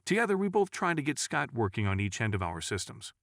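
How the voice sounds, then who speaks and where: A man's voice: mid-range voice, sing-song, fast talker, fairly bright tone, no echo, clean studio sound. A man reading a scripted first-person paranormal story as a narrator.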